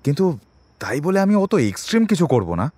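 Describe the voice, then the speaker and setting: Defensive man, very lively pitch. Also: moderate pace, slightly rough, no room sound. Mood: defensive, uneasy. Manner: wordy, self-justifying, mixes in English words. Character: defensive middle-class working man questioned by police. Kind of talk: audio drama acting.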